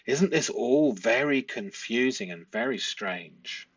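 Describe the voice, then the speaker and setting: Male commentator, mid-pitched voice, analytical and stop-start delivery, close dry sound on slightly muffled audio. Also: expressive, takes it slowly, breathy edge, no room sound. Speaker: host, statement analysis commentator. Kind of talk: analytical commentary reading transcript excerpts aloud.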